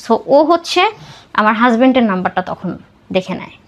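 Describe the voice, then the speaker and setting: A woman's voice: fairly high pitch, animated, a little fast. A woman with a Bangladeshi accent telling a personal life story as a podcast guest, dropping English words into her talk.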